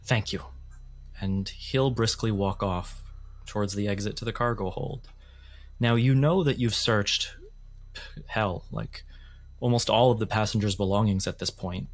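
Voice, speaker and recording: Low-voiced male game master. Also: a little slow. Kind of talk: descriptive tabletop game narration.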